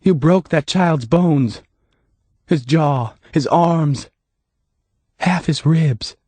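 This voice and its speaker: A man voicing a young woman who speaks slowly with sadness, her voice faltering. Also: mid-range voice, mellow, slightly rough, no room sound. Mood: sorrowful. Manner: sorrowful, slow, faltering. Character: sad, steady young woman confronting a killer.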